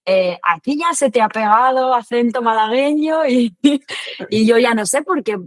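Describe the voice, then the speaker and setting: A woman's voice: on the high side, measured pace, no echo. Chatty woman, filler-heavy speech, dry sound. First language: not Spanish. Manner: chatty, hesitant, many fillers. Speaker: interview guest, Spanish learner. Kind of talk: casual conversational interview.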